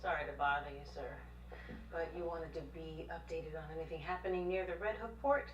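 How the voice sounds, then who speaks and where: An actress playing a cool, businesslike aide to a powerful official in an understated TV drama. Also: female voice, sits low, fairly even intonation, a little fast, muffled recording, lightly acted. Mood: cool, cautioning. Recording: roomy, distant TV playback, faint noise.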